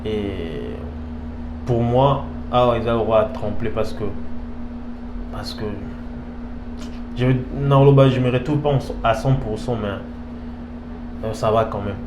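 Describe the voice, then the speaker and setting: A man with a Congolese French accent answering casually as an interview guest, halting, repeating phrases and mixing languages. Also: medium pitch. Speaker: interview guest, professional footballer. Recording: echoey room, clear background hum.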